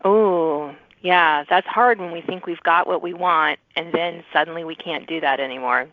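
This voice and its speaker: A woman's voice: lowish voice, moderate pace, no room sound, dull audio. A woman hosting a casual interview show, informal and eager in how she reacts to answers. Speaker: podcast host interviewing authors.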